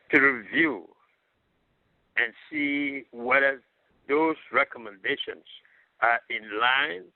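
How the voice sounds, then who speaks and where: A man guest on a radio show, explaining in a deliberate, lecturing way with measured pauses. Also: mid-range voice, dry recording. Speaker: guest, religious leader. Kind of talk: radio interview answers. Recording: tinny phone line.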